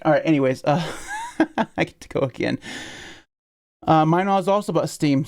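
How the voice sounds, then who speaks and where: A man's voice: medium pitch, a little mellow, clean tone. Male podcast host, chatty, giggly, rambling. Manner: laughs often, rambling with restarts. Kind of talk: casual podcast chat.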